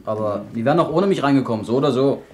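An actor playing a cocky, eager young pirate recruit in a radio play, boastful and cheeky. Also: male voice, mid-range voice, lively intonation, very fast, darkish tone, natural voice. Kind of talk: 1970s German radio play acting.

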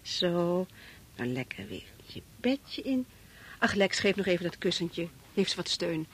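A woman's voice: lowish voice, sing-song, a little slow, slightly rough, heavily acted. A Dutch actress from the Netherlands playing an anxious, tender young wife and mother in a radio play, with worried questioning. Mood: tender, soothing.

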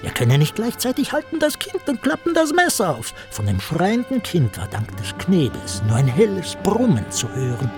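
An actor playing a gossiping storyteller who recounts a lurid legend with theatrical, insinuating relish in a radio play. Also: male voice, high-pitched, huge pitch swings, moderate pace, hardly any pauses, music in the background. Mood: conspiratorial narrating.

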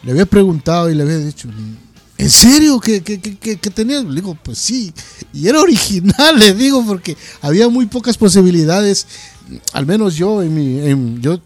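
A man chatting casually as a programme host, haltingly and often repeating words. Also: high voice, very animated, no echo, faint music behind. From Ecuador. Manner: hesitant, repeats words as they go. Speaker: host of a heavy metal radio programme. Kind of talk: casual interview chat.

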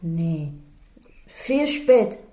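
A woman's voice: on the low side, sing-song, drawn-out words, dark tone, full character voice. An actress playing a reticent Chinese cleaning woman with a Chinese accent, answering in short broken phrases in a radio crime drama. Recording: echoey room.

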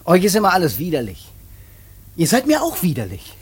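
An actor playing a sullen, idle young son in a radio drama, with a whining, defiant, snappish manner. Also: male voice, sits high, huge pitch swings, fairly quick, slightly rough, full character voice.

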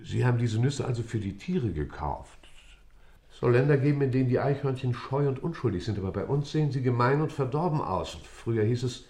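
A man acts out an aging judge in a German radio play. His voice sits at a middle pitch with a gravelly fry in it. He questions methodically and formally, weighing his phrases and sometimes halting. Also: animated, darkish tone, natural voice. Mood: formal, probing. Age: older. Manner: formal, deliberate, halting at times.

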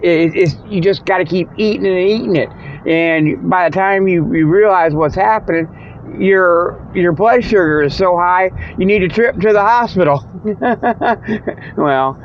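A man's voice: sits high, expressive, a little slow. A man hosting a podcast, rambling casually in a folksy, jokey, meandering way while driving in a car. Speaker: podcast host. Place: inside a moving car. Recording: dull lo-fi, car engine noise. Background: vehicle motor noise.

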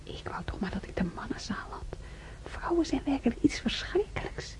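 Een actrice die in een hoorspel een drukke, gedienstige jonge verpleegster speelt die stiekem haar geduld verliest. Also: female voice, sits high, big pitch swings, normal speed, in a whisper. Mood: exasperated. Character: busy young nurse, obliging but privately exasperated. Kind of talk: radio drama acting.

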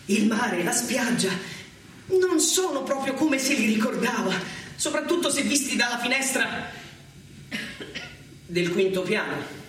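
A man's voice: very high voice, very animated, unhurried, long pauses, a bit bright. A man narrating a theatrical monologue in a dramatic, emphatic manner. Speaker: stage actor and narrator. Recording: very echoey theatre, distant mic, faint noise.

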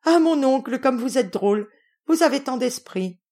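A woman's voice: high-pitched, lively intonation, normal speed, warm tone, pitched above the performer's own voice. A woman voicing a domineering middle-aged noblewoman, imperious and effusive, in a storybook reading. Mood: gushing. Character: domineering, gushing middle-aged Russian noblewoman, scolding and flattering.

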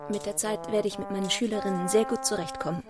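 An earnest, observant young schoolmistress, played by an actress, recounts her life for a radio drama. Her medium-pitched delivery is measured, reflective and articulate, with music clearly audible behind it. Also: steady tone, close to an everyday voice. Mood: composed, polite. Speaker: lead actress and narrator. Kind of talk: literary radio drama narration.

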